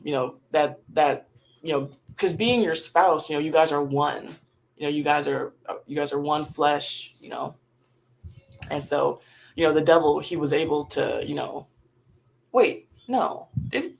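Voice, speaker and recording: A woman with a raspy voice talking casually and reflectively on a livestream while grieving, in halting phrases that sometimes trail off. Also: deep voice, poor audio. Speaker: livestream host. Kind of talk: casual, reflective livestream talk about faith and grief.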